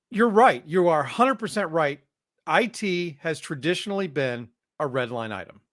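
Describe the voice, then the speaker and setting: A man's voice: fairly high pitch, animated, unhurried, pauses often, a bit bright, no room sound, studio quality. A man co-hosting a podcast, talking in an opinionated, emphatic casual way. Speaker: podcast co-host. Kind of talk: casual chat.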